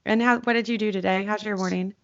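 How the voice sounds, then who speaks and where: A woman's voice: mid-range voice, fairly even intonation, quick pace, fairly bright tone, highs cut off. A woman hosting a podcast in a casual, chatty, informal way with playfully crude humour. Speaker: podcast host.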